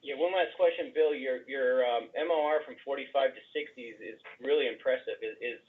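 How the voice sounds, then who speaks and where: A man asking a business question in a halting, conversational way over a telephone conference line. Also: mid-range voice. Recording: tinny band-limited telephone line.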